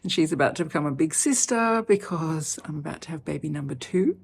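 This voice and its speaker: A heavily pregnant woman in her fifties talking off the cuff in a personal vlog, chatty and animated, laughing often. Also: low-pitched, a little fast, creaky, breathy edge. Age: 50s. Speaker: vlogger.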